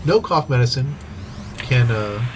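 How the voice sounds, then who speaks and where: A man with a stuffy, sniffly nose giving excitable play-by-play commentary on a strategy game replay, with casual asides, on an old microphone. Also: medium pitch, a little slow, background noise. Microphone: old microphone he wants replaced.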